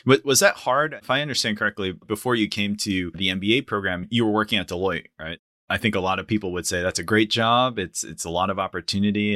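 Bright, upbeat male podcast host. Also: lowish voice, no room sound, studio quality. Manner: upbeat, enthusiastic interviewer. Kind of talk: conversational podcast interview.

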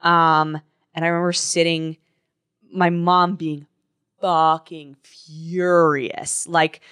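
A woman hosting a podcast, telling stories in a casual, animated way with swearing. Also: low-pitched, takes it slowly, clean tone. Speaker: podcast host. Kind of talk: casual chat.

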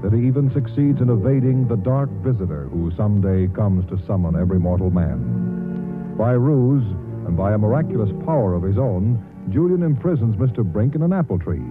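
A formal male narrator tells a story in a low voice over clear music. The sound is a muffled vintage radio broadcast. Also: expressive, normal speed. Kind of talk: 1940s radio scripted narration.

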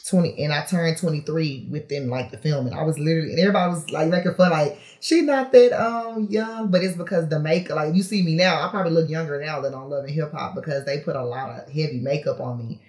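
A woman's voice: sits low, quick pace, few pauses, a little mellow, echoey room. A woman in her thirties talking candidly as a podcast guest, chatty and casual, with lots of fillers and frequent laughs. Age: 30s. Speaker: podcast guest, reality TV personality. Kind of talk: casual interview chat.

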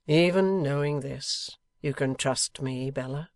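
A man reading as a solemn, affectionate young Victorian husband in a storybook, measured, formal and emphatic. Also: medium pitch, vocal fry, no room sound, studio quality. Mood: tender.

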